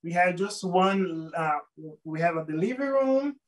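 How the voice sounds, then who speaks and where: A man from Brazil with a Brazilian Portuguese accent, a guest telling his life story in an interview, halting and searching for words in English. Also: high voice, lively intonation, distant mic.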